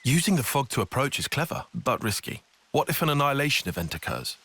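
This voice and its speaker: A man's voice: mid-range voice, sing-song, fast, pushed and bright, husky, full character voice. A man playing a cautious, formal young strategist in a video game, precise and analytical in speech. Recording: music behind.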